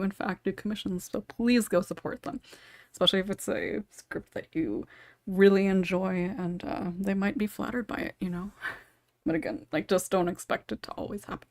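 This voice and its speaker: A woman's voice: mid-range voice, dry recording. Casual woman podcast host, dry close sound. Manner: rambling, self-interrupting, chuckles between thoughts. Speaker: podcast host and script writer.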